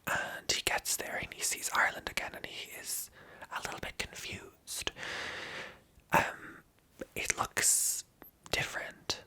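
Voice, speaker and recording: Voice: measured pace, long pauses, bright, forceful tone. A storyteller retelling an old legend in a casual, chatty whisper.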